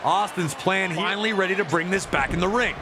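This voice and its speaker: A man's voice: high-pitched, brisk. A man narrating wrestling matches like a sports documentary, with emphatic, dramatic storytelling. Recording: loud crowd roar and arena noise. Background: roaring arena crowd.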